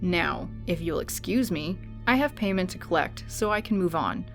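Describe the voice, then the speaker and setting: A woman plays an ambitious, mocking bounty hunter in an audio drama. Her voice is on the low side, dry and self-assured, teasing and unbothered. Music runs underneath. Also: expressive, moderate pace, fairly bright tone. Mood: mocking, confident.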